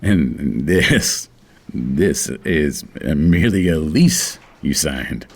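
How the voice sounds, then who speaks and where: An actor playing a smooth, condescending crooked banker in an audio drama, with drawn-out, falsely courteous speech and a very deep, gravelly voice. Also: male voice, expressive, exaggerated voice. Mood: feigned innocence.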